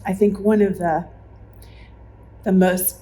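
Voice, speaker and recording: An older woman reminisces in an interview as a longtime volunteer teacher. Her voice is quite dark and soft-edged, and she takes her time. There is faint noise in the recording. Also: mid-range voice, steady tone, takes it slowly, poor audio. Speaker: longtime church member and Sunday school teacher. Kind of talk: personal reminiscence in an oral history interview.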